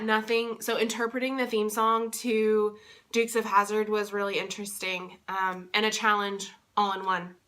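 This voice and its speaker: A woman's voice: medium pitch, pushed and bright. A young woman giving a casual vlog update, congested and still recovering from losing her voice, chatty and rambling with many fillers. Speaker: vlogger and convention interpreter.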